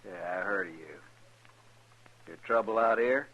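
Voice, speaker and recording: An actor playing a surly, guarded wagon driver in a 1950s radio western, curt and suspicious. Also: male voice, fairly low pitch, a little slow. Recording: dull, noisy vintage radio recording.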